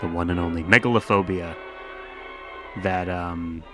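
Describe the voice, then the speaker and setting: A man with a deep voice hosts a reaction video in a casual, laid-back way. He muses aloud over clearly audible music. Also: fairly even intonation, a little slow. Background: music clearly behind the voice.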